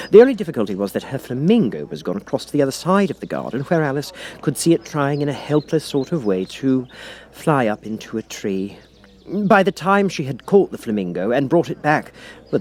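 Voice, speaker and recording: A man's voice: mid-range voice, darkish tone. A man reading a children's story as a scripted audiobook narration.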